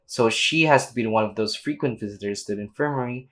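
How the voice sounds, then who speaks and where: A woman's voice: very deep voice, fast talker, fairly bright tone. A woman streaming a game, reacting in casual slangy commentary and reading dialogue aloud. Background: faint game music.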